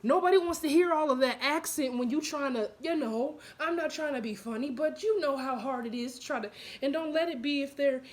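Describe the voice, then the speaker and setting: A woman's voice: high-pitched, fairly quick, hardly any pauses. A young woman speaking casually to camera in a blunt, chatty vlog. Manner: blunt, animated, chatty with laughs and asides. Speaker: vlogger.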